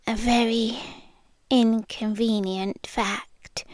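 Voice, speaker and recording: A British woman playing a shy, anxious, lovestruck monster from under the bed in an intimate ASMR roleplay, speaking softly and awkwardly, often in a whisper. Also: a little high, fairly even intonation, extremely slow, dry recording. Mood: stiffly matter-of-fact.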